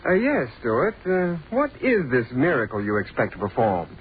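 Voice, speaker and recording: An actor playing an affable, pragmatic young fraternity brother in an old-time radio drama, chatty and polite. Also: male voice, mid-range voice, wildly swooping pitch, normal speed. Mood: amused. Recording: tinny, noisy vintage radio recording.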